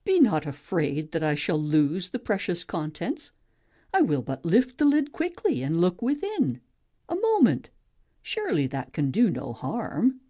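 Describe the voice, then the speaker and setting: A woman playing a curious, highly animated maiden. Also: unhurried, full character voice. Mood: coaxing, curious. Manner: wondering, musing aloud. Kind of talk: amateur audiobook play reading, expressive.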